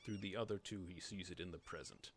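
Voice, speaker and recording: Man acting a gravelly, solemn fantasy storyteller. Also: low-pitched, a little hiss or hum, pitched below the performer's own voice. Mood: mournful, grave. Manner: solemn, measured, hushed at times. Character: grave, mournful storyteller of an ancient fantasy world. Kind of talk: slow dramatic reading of fantasy game text.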